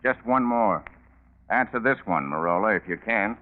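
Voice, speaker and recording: An actor playing a shrewd, dogged police lieutenant in 1940s radio drama, questioning in a probing, sardonic, controlled way. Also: male voice, on the low side, animated, normal speed, close to an everyday voice. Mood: confident, accusing. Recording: tinny, narrow-band 1940s radio recording.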